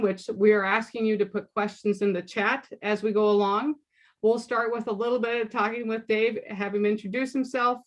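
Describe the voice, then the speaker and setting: A woman moderating an online Q&A session, giving orderly housekeeping instructions before introducing the guest. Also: medium pitch. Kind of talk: opening a recorded online Q&A.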